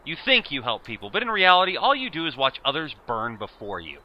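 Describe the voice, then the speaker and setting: A man's voice: a little high, wildly swooping pitch, fairly quick, muffled recording. A man acting a nerdy, bullied teenage schoolboy in an amateur audio drama, with a theatrical, wordy, pleading manner. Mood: bitter.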